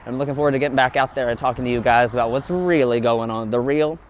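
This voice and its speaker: Male vlogger, mid-pitched, candid and earnest, talking straight to camera outdoors with light traffic noise. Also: fast talker. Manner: earnest, open, addresses viewers directly. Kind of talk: candid vlog monologue to viewers.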